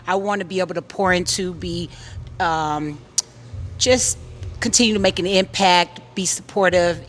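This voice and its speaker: A middle-aged woman, a salon owner speaking supportively and a bit loosely on a panel. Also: medium pitch. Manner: warm, supportive, loose and rambling. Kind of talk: panel talk.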